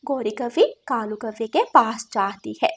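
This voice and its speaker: A woman's voice: a little high, measured pace, a bit bright, breathy edge. A woman narrating a scripted children's moral story.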